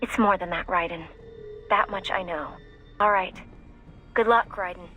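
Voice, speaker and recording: A woman voicing an earnest, determined young mission support operator in a video game, with a breathy, husky voice. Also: fairly low pitch, measured pace, dull audio, faint music behind, close to an everyday voice. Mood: earnest, resolute. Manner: earnest, hesitant but resolute. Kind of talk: dramatic video game voice acting.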